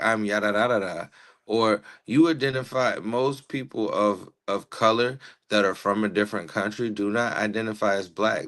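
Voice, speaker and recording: Man, low voice, livestream co-host, emphatic, argumentative, slangy. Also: a bit bright. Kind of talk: casual political debate chat.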